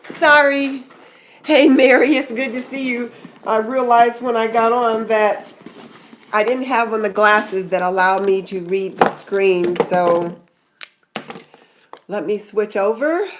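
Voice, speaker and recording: A woman's voice: a little high, takes it slowly, slight background noise. A middle-aged woman hosting her own live stream, chatting casually and greeting viewers by name, with a stuffy nose from a cold. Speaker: YouTube channel host.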